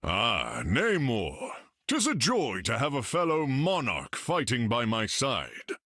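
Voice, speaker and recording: A bombastic warrior monarch for a video game. His speech is slow, theatrical and archaic, gravelly and swinging widely in pitch. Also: huge pitch swings, bright, forceful tone, dry recording, clean studio sound, exaggerated voice. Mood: hearty, proud. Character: bombastic, hearty, proud warrior god-king.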